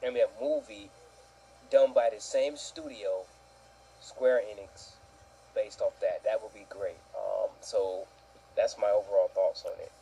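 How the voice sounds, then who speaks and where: Male fan commentator, relaxed husky voice. Enthusiastic but halting, conversational. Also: on the low side, poor audio. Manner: enthusiastic, halting, circles back over words. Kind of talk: casual fan discussion.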